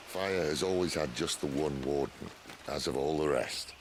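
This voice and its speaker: An actor playing a worldly, wry rebel leader in a fantasy video game, speaking with measured, sardonic understatement in a gravelly voice. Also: male voice, slight background noise. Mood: musing, grave. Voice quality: very deep, gravelly voice.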